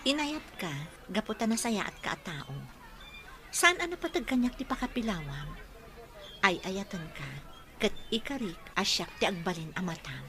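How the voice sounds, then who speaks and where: A woman acting a devoted young woman in love. Her voice is bright and mid-pitched, with sincere dialogue in the manner of a radio drama. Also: animated, normal speed. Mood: tender.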